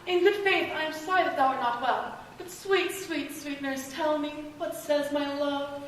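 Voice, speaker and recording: Very high-voiced, impatient lovesick girl played by a woman. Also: lively intonation, quick pace, a bit bright. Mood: coaxing. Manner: theatrical, eager, pleading. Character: impatient, lovesick young girl of noble house. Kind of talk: Shakespeare stage acting. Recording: echoey hall, distant mic, faint noise.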